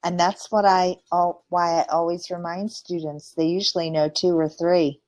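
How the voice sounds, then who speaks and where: A woman's voice: fairly low pitch, flat delivery, moderate pace, a little mellow. A woman from the United States working as an English conversation tutor, speaking in simple short phrases and patiently repeating and explaining. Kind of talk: one-to-one conversation lesson.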